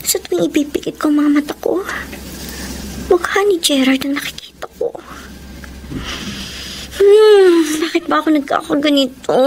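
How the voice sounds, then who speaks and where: A woman playing an expressive, emotional young woman in love in a Tagalog romantic radio drama. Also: sits high, background noise. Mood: restless, wistful. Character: smitten, flustered young woman, devoted girlfriend wavering.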